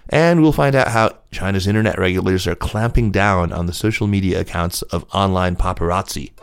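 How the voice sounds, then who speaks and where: A man's voice: low-pitched, a little fast, smooth. A man hosting a business news podcast, reading scripted stories as narration. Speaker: podcast host and news reader.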